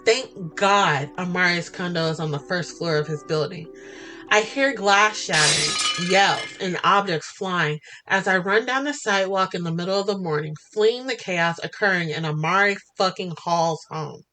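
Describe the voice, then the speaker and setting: A woman narrating a first-person romance story as podcast host, in a flirty, expressive, dramatic style. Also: on the low side, moderate pace, soft background music.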